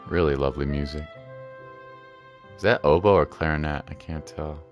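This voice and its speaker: A man's voice: a bass voice, steady tone, moderate pace, highs cut off, soft background music. A man streaming a game with casual, laid-back commentary, musing aloud as he plays.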